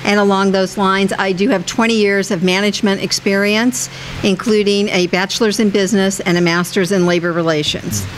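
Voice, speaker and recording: A middle-aged American woman gives a prepared speech as a council candidate. Her voice sits at a medium pitch. There is faint noise in the background. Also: fairly even intonation, brisk, low-quality recording.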